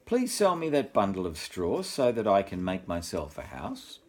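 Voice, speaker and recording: A polite little pig from a storybook, earnest and plucky as an older man acts him out. The pitch swoops around a lot and the voice is a bit husky. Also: huge pitch swings, moderate pace, a little mellow, heavily acted. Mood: polite, eager.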